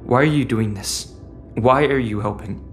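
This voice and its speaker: A man's voice: medium pitch, moderate pace, soft background music, close to an everyday voice. An actor playing a wounded, distrustful teenage boy in a sci-fi audio drama. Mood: searching.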